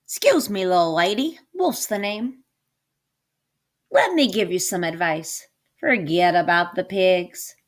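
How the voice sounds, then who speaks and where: A woman voicing a hungry, sly male wolf from a storybook, polite and confiding in a smooth-talking manner. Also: lowish voice, lively intonation, unhurried. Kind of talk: storybook character voice.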